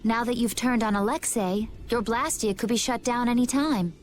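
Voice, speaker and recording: An actress playing a calm, dry-humored young woman fighter in a video game cutscene. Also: on the high side, normal speed, soft background music, lightly acted. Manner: cool and dry.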